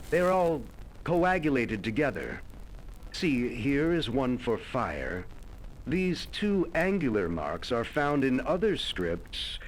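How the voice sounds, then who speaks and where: A man's voice: mid-range voice, a little hiss or hum. A man playing a pensive old-script scholar. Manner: measured, lecturing, thoughtful. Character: learned male scholar of ancient scripts, pensive. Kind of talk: audio drama acting.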